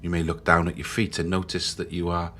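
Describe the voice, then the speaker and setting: A man leading a guided meditation in a slow, soothing manner with long pauses. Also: low voice, little pitch movement, fairly bright tone. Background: background music.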